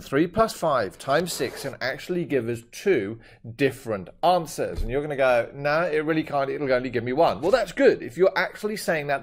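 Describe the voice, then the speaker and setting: Jokey British maths teacher, slightly high voice. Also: male voice. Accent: British, shifting toward Australian. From Britain. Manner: jokey, chatty asides to viewers. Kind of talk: screen-recorded maths lesson.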